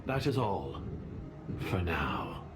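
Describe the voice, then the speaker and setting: A calculating game villain, a cold secret agent. He speaks slowly and fairly low, with menacing condescension, amid loud ambient noise. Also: very animated, full character voice. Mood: guarded, condescending. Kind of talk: video game voice acting.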